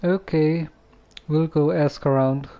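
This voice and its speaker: A man casually live streaming a game, reading dialogue aloud and commenting in a halting, musing way. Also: fairly high pitch, fairly even intonation, highs cut off. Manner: halting, musing, repeats phrases.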